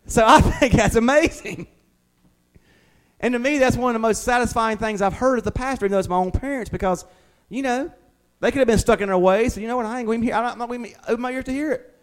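High-pitched preacher, a middle-aged man. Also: quick pace. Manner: folksy, uses homely examples and acts out imagined replies. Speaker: pastor preaching to a congregation. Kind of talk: informal conversational evening sermon.

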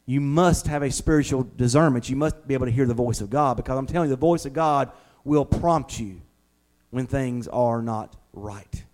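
A man's voice: medium pitch, moderate pace, a little mellow. A middle-aged man preaching an informal, conversational sermon as a pastor, in a folksy way. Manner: folksy, uses homely examples and acts out imagined replies.

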